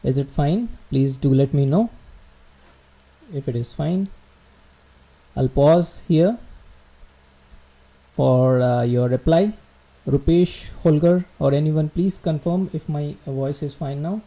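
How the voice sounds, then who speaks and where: A man's voice: a little high, slow pace, pauses often, dark tone, dull audio. A man giving a live online technical lesson, explaining step by step with frequent fillers and restarts and checking in with his viewers. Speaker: livestream instructor. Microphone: computer mic, quiet at first then turned up.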